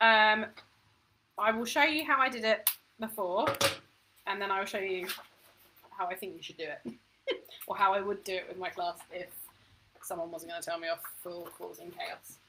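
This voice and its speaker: A woman's voice: medium pitch, normal speed, long pauses, pushed and bright, a little hiss or hum, poor audio. A woman teaching a home-education history lesson to camera, chatty and self-deprecating, thinking aloud as she goes.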